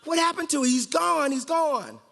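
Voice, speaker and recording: A man voicing a scornful, excitable chicken from a storybook, exclaiming shrilly. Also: very high-pitched, moderate pace, gravelly, voice pushed up, full character voice. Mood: excited. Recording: echoey hall with long reverb.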